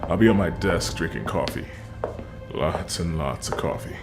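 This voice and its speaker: A man playing a world-weary police detective in an audio drama, gruff, terse and dry. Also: low-pitched, normal speed, music in the background. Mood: dry, familiar.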